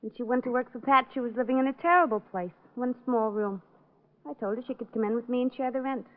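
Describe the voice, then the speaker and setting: Coy, high-voiced young woman played by an actress, muffled. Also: quick pace, close to an everyday voice. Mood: evasive. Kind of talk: 1940s-50s radio drama acting. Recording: muffled vintage radio broadcast recording.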